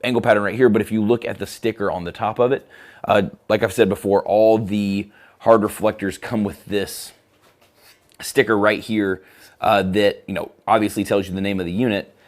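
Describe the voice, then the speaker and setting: A man with a hoarse, scratchy throat walks viewers through lighting gear in a live studio stream. His voice sits fairly low, and he talks in a loose, chatty way, filling pauses with "uh" as he handles the equipment. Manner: relaxed, chatty, with frequent fillers. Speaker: company product presenter. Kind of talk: casual live product demo. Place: photo studio.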